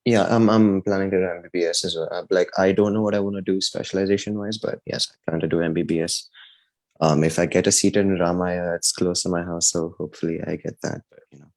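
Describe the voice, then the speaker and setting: A man's voice: low-pitched, fairly even intonation, quick pace, darkish tone. A young man from India, a podcast guest chatting casually with many fillers and restarts. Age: young adult. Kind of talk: casual chat.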